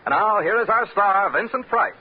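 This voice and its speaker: A man's voice: high voice, expressive, normal speed, slightly rough. A man reading scripted announcements in the manner of a mid-century American network radio announcer, on a muffled vintage broadcast. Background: loud music.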